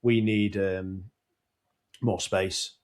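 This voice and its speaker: Low-pitched British man, podcast co-host, casual business talk, swears freely. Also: level tone, extremely slow. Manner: plain-spoken, swears, lays out scenarios at length. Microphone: podcast mic.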